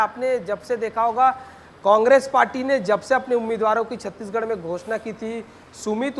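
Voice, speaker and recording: A man's voice: very high voice, fairly bright tone. A man giving a live news report as a field reporter, talking in long, wordy run-on sentences. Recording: noisy live link with ambient sound.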